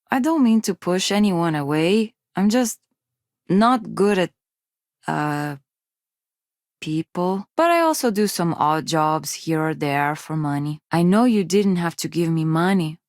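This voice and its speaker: An actress playing a shy, self-doubting young undergraduate from a poor space colony, with a hesitant, awkward manner, in video game dialogue. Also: female voice, lowish voice, expressive, slow pace, a little mellow, no room sound, studio quality. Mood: earnest, downcast. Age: young adult.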